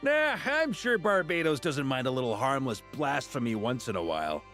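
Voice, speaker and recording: An actor playing an easygoing, teasing young knight in a video game, with a casual, playful delivery. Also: male voice, medium pitch, sing-song, normal speed, fairly bright tone, soft background music. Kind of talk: video game character acting.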